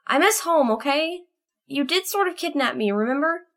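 Woman acting a little female pony, storybook character, high-pitched, plaintive, pleading, pouty, defiant. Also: expressive, normal speed, a bit bright. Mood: defensive.

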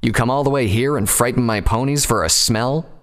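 Protective creature prince for a fantasy audiobook, acted by a man: creaky voice, gruff, blunt, stands his ground. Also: on the low side, fairly even intonation, rapid-fire, in a large hall. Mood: scornful, irritated. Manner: blunt, challenging, formal. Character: gruff, protective, royal big brother creature.

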